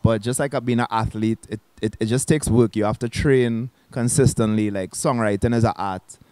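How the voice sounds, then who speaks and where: A man's voice: fairly low pitch, moderate pace, dry recording. Trinidadian man in a dry studio. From Trinidad and Tobago. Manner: relaxed, reflective, with restarts and local slang. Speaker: guest musician. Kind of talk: casual interview chat. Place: small lounge set with a bar. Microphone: handheld mic.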